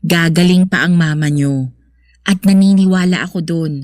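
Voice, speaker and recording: A woman's voice: on the low side, normal speed, darkish tone, no echo, clean studio sound, lightly acted. An actress playing a caring, sensible young woman in a radio drama, speaking earnestly and reassuringly. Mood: gently firm. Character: caring, sensible young woman consoling her troubled boyfriend.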